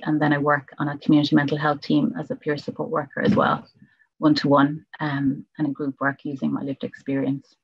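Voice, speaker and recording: A woman's voice: low voice, husky, no room sound, highs cut off. A woman, a peer support worker, introducing herself steadily on a video call. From Ireland. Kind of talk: self-introduction.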